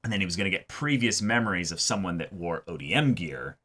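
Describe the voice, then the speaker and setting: A man's voice: low voice, sing-song, fast talker, sharp tone. A man co-hosting a reaction show, talking in casual, emphatic fan analysis with repeated phrases. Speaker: co-host of an anime reaction show. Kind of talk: casual chat, fan analysis of an anime episode.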